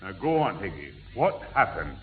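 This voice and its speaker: A tinny old radio recording with a peppery older family man. He is brusque and commanding, his voice jumping about in animated sixties sitcom style. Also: low voice, normal speed, breathy edge, heavily acted. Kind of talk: 1960s radio sitcom acting.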